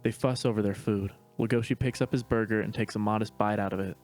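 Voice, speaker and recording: A man narrating stage directions in a steady, matter-of-fact reading voice. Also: on the low side, fast talker, faint music behind. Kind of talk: plain read-aloud narration.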